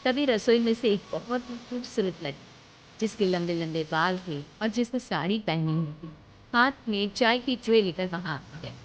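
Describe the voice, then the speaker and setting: A woman's voice: mid-range voice, expressive, moderate pace, slight background noise. A woman narrating a scripted moral story as its storyteller. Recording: dull lo-fi sound.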